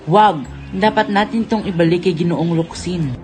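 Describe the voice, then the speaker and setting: A woman's voice: fairly low pitch, normal speed, a little mellow, faint music behind, lightly acted. A woman playing a poor, honest young beggar boy in an amateur radio drama. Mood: earnest.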